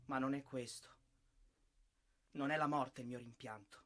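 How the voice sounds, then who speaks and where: An actor playing a bitter, disillusioned young soldier killed in war, in a husky, theatrical monologue. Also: male voice, medium pitch, steady tone, a little slow, natural voice. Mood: bitter, anguished. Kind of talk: dramatic stage monologue, radio drama.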